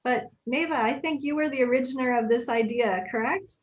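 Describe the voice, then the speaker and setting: A woman's voice: high voice, fairly quick, dull audio. A woman hosting a casual video call chat, upbeat and friendly, with frequent fillers. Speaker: host.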